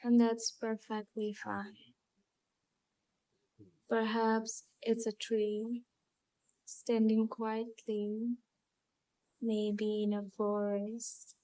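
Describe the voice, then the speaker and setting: Woman, hypnotic guided meditation, calm, unhurried, long pauses, even intonation, close dry sound. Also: mid-range voice, dry recording, low-quality recording. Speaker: hypnotherapy channel host and guide. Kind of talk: guided visualisation, gentle hypnotic storytelling.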